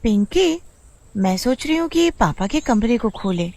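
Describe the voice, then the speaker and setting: A woman's voice: a little high, very animated, a little slow, mellow, voice pushed up. A woman voicing a curious, eager young village girl with a wide-eyed, girlish manner in a storybook tale. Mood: secretive, curious. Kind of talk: storybook character voice.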